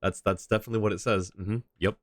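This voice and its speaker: Low-voiced joking man streamer. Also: steady tone, quick pace, no echo, clean studio sound. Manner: joking, rambling, hesitant. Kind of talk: casual gameplay commentary. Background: game music.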